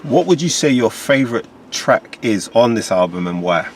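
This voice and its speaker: A man hosting an interview, asking measured questions and giving short acknowledgements. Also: mid-range voice, very animated, a little hiss or hum. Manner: brief backchannels and measured questions. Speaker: podcast host.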